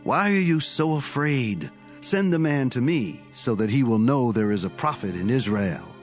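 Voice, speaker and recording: A man's voice: mid-range voice, animated, normal speed, faint music behind, natural voice. A man playing an assured, commanding prophet of ancient Israel in a dramatized audio Bible. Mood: challenging. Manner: commanding, declarative.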